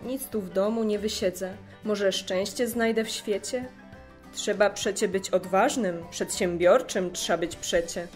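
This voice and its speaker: A woman's voice: medium pitch, measured pace, soft background music, natural voice. A woman playing a young orphan boy, earnest, hopeful and resolute, speaking verse in an audio drama.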